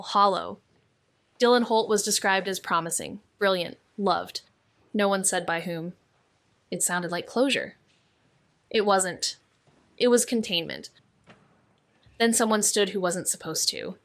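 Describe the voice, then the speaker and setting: Woman acting a deadpan young noir sleuth, close and dry. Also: mid-range voice, measured pace, pauses often, no echo, close to an everyday voice. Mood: somber, watchful. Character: wry, watchful young female amateur sleuth narrating noir mystery. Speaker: narrator and lead character. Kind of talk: noir scripted narration.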